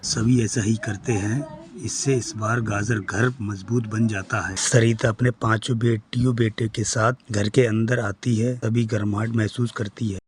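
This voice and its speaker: A man's voice: fairly low pitch, steady tone, measured pace, slightly rough, no room sound. A man narrating a scripted moral story for a cartoon.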